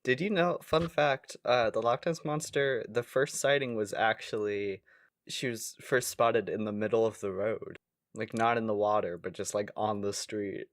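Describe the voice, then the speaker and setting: A man's voice: on the low side, normal speed, no echo. A man co-hosting a podcast in casual comedic banter, rambling along playfully. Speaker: podcast co-host.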